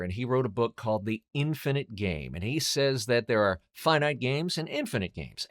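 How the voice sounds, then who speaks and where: A man hosting an instructional monologue as a business coach, speaking in an emphatic, deliberate way with rhetorical questions. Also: medium pitch. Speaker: host, voiceover business coach.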